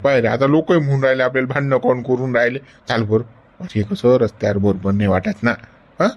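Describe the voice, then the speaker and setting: A man's voice: mid-range voice, steady tone, fast, lo-fi sound, lightly acted. An actor playing an elderly male friend who coaxes and scolds in a Varhadi Marathi accent. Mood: coaxing. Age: older. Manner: coaxing, chiding with repeated "गड्या".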